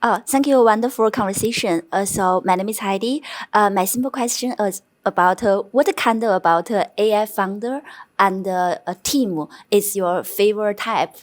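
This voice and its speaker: A woman's voice: mid-range voice, moderate pace. Hesitant woman asking a short question. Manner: hesitant, many "uh" fillers. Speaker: audience member asking a question. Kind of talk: spoken audience question.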